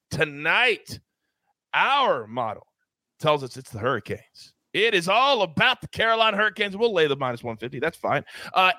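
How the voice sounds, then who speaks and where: A man hosting a sports betting show, hyped and playful, with big swings in pitch. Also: sharp tone, no echo. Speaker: host.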